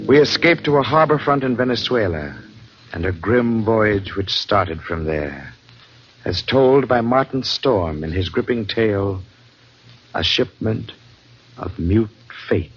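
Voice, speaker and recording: A man announcing a radio thriller in a slow, ominous vintage narration style. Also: fairly low pitch, lively intonation. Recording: muffled, noisy old radio broadcast.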